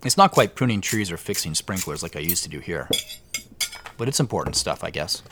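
A man's voice: sits low, expressive, fairly quick, fairly bright tone, a little hiss or hum. An actor playing an awkward, modest businessman in his late thirties, speaking hesitantly in an audio drama. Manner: hesitant, self-deprecating. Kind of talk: naturalistic audio drama dialogue.

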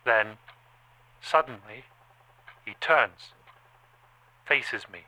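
A man's voice: medium pitch, very slow, bright, forceful tone, full character voice, rough recording. A man playing an anxious, watchful machine observer, narrating an audio drama in clipped, rhythmic phrases. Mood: tense, watchful.

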